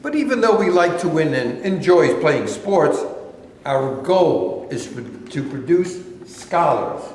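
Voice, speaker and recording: A man reading the part of an earnest high school basketball coach in a staged play. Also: sing-song, takes it slowly, darkish tone, a little hiss or hum. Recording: echoey hall with long reverb.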